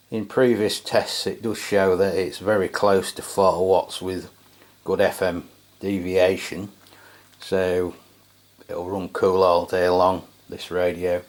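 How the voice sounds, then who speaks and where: A man giving a casual, hands-on product review as a channel host, speaking in a low-key, hesitant, unscripted way. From England.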